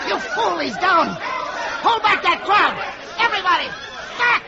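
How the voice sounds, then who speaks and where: An actor playing an agitated army officer shouting commands in a 1950s radio drama. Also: male voice, extremely high pitch, expressive, measured pace, heavy background noise. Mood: alarmed. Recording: dull old radio recording, crowd din.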